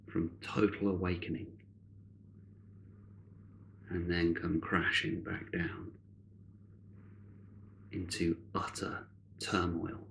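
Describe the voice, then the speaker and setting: Very slow, low-voiced man guiding meditation. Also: creaky, fairly bright tone, slight background noise. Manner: measured, with long deliberate pauses between short phrases. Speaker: meditation guide. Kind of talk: guided meditation, spiritual narration.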